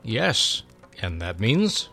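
A man playing a kindly, patient old seer, measured and fatherly, in a dramatic storybook reading. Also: lowish voice, very animated, gravelly, pushed and bright, faint music behind, heavily acted. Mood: gently instructive.

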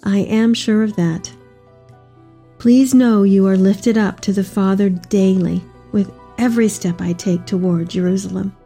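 An elderly, devout mother played by a woman reads her letter as audio drama, affectionate and expressive. Her voice is warm and mellow at a middle pitch, with music playing behind her. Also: measured pace. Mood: hopeful, solemn.

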